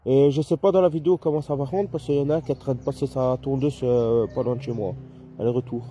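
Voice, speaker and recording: A man with a very dark, mellow voice of medium pitch talks casually into a two-way radio. He is outdoors in a garden and speaks in a conversational, slightly hesitant way. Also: steady tone, fast talker, slight background noise. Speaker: amateur radio operator filming a contact.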